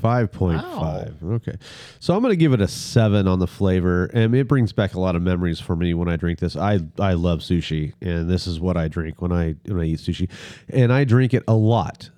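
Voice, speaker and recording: Low, mellow-voiced male beer-podcast host. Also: lively intonation, fairly quick, hardly any pauses, no room sound. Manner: easygoing, prompting guests with questions. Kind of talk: casual beer-tasting chat.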